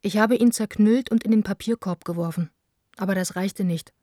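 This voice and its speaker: A mellow, dark-toned female voice in a dry, close recording gives us a passionate, wounded young woman played by an actress. She reads candid letters as an intimate, plainspoken radio play monologue. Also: medium pitch, moderate pace, dry recording, close to an everyday voice. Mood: hurt, defiant.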